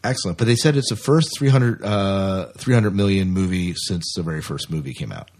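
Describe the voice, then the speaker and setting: Husky, low-voiced man chatting as podcast co-host. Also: brisk, a little mellow. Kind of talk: casual entertainment news chat.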